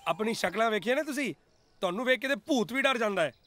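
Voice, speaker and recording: Cocky young punjabi college guy, high bright voice, teasing and boastful, movie comedy. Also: lively intonation, quick pace, a little hiss or hum, heavily acted. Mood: mocking. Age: young adult. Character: cocky, brash young college man, mocking joker. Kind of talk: comedy film dialogue.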